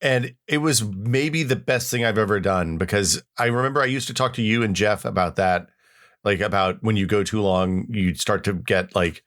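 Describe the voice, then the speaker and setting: A middle-aged man with a lowish voice co-hosts a podcast. He rambles along, restarts his sentences often and slips in goofy asides. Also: moderate pace, fairly bright tone. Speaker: podcast co-host. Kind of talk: casual chat.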